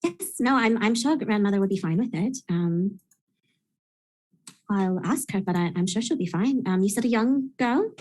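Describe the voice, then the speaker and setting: A woman's voice: mid-range voice, expressive, normal speed, a little mellow, lightly acted. A woman voicing a timid, polite young woman passenger who stammers nervously.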